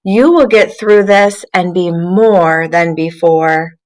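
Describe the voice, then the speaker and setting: A woman's voice: on the low side, slow pace. A woman voicing a friendly wooden twig in a children's storybook, comforting and encouraging. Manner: reassuring, encouraging. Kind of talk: children's storybook read aloud.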